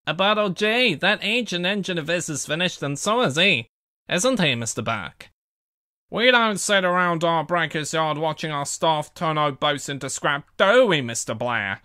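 A man's voice: a little high, expressive, moderate pace, sharp tone, no echo, studio quality. A man voicing an oily, scheming cartoon scrap-dealer boat with a formal, fawning manner.

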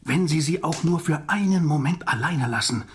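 Older professor, vampire hunter: husky, solemn, commanding, urgent audio-drama delivery. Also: male voice, on the high side, moderate pace. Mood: grave warning. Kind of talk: German audio drama acting.

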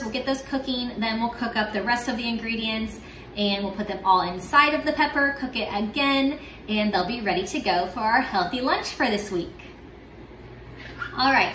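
A woman's voice: a little high, a bit bright. Young woman in an echoey kitchen. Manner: upbeat, plain explaining. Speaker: home cooking vlogger. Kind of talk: step-by-step cooking demo. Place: home kitchen, indoors. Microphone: far camera. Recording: echoey kitchen, faint hum.

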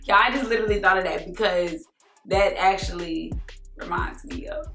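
A young woman with a raspy voice, chatting casually and sassily to camera in her living room. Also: mid-range voice, animated, moderate pace, fairly bright tone, reverberant, soft background music. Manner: chatty, sassy, rambling. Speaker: YouTuber. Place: living room with a Christmas tree.

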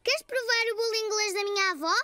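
A woman voicing a cheerful, curious four-year-old cartoon boy in a European Portuguese dub, with a very high childlike voice. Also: fast, pushed and bright, no echo. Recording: children's music playing behind.

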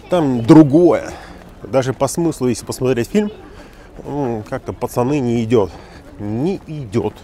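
A man's voice: mid-range voice, slight background noise. Ranting man walking a night street. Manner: animated, emphatic, mocking rant. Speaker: interpreter and English teacher. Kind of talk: casual walking vlog commentary. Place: city street at night, outdoors. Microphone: phone at arm's length with wireless earbuds.

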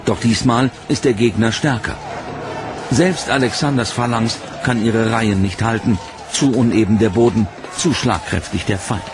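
A man reading a serious, measured documentary narration. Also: fairly low pitch. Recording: narration over loud music.

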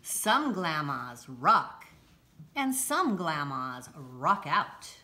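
A middle-aged woman reading a children's picture book aloud, deliberately and with expressive emphasis, recorded indoors a short distance from the camera. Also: deep voice. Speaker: school read-aloud presenter. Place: indoors, home-like room with armchairs.

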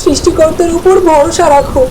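A woman voicing a gentle, devout farmer's wife and mother in a cartoon, caring and pleading. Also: extremely high pitch, fairly even intonation, a little fast, a little hiss or hum, poor audio. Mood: reassuring. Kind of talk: cartoon voice acting.

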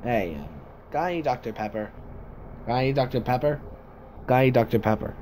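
Casting: man, slowish delivery, amateur improvised voice lines, halting, faint noise of a home recording. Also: medium pitch, a little mellow. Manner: halting, repeats and reworks lines.

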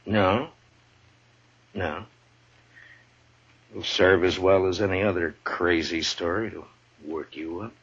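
Casting: authoritative frontier marshal, low gravelly-husky voice, world-weary, old radio western. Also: male voice, fairly even intonation, slow, pauses often, a bit bright, full character voice. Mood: cold, defiant. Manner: authoritative, blunt. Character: tough, world-weary Old West lawman, firm but fair. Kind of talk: 1950s radio western acting. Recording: dull vintage radio recording.

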